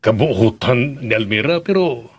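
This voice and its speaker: Worried father, husky and swooping. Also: mid-range voice, lively intonation, normal speed. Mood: worried, hesitant. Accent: Filipino. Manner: hesitant, questioning, concerned. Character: worried, probing father questioning his grown son. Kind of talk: Filipino radio drama acting.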